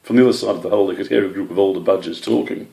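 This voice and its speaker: A man's voice: low-pitched, very fast, vocal fry, warm tone, echoey room. A man reading a scripted children's animal story as its narrator. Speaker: author or story reader.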